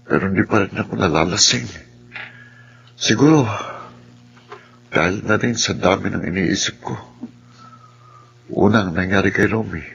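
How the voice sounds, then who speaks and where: A man's voice: deep voice, moderate pace, pauses often, a bit bright, full character voice. A man playing a brooding, uneasy man in a radio drama, musing and questioning as if troubled. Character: brooding, uneasy brandy-drinking man haunted by worries. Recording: dull, clearly noisy lo-fi recording.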